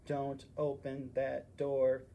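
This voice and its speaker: Man doing a slow, stilted storybook robot. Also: medium pitch, completely flat delivery, gravelly, warm tone. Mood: cautious.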